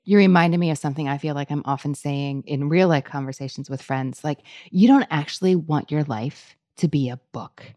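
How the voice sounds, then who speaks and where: A woman's voice: low voice, a little fast, few pauses, a little mellow, dry recording. A woman hosting a podcast, interviewing her guest in a playful, laughing way. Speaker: podcast host. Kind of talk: casual interview chat.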